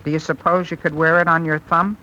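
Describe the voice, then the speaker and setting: A man's voice: fairly high pitch, little pitch movement, a little fast, sharp tone, muffled recording. A young man playing an anxious, evasive teenage boy with a hesitant stammer, in 1930s radio comedy style. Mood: nervous, evasive. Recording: noisy 1930s radio broadcast recording.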